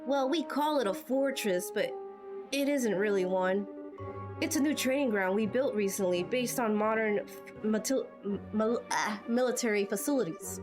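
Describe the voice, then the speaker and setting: A woman voicing a shaken, self-reproaching male instructor in amateur game dubbing, halting and subdued. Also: mid-range voice, music in the background, close to an everyday voice. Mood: explanatory.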